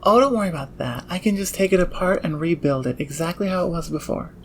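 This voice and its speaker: A woman's voice: on the low side, lively intonation, quick pace, slight background noise. A woman playing an eager, teasing thief inventor who dotes on gems and machines in an ASMR audio roleplay. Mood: teasing, brisk. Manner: doting, murmuring to gadgets and gemstones.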